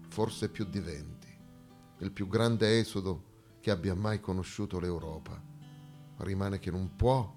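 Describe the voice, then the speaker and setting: Low-voiced man in an emphatic theatrical monologue. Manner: emphatic, rhetorical, swinging between hushed and loud. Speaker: stage actor and monologist.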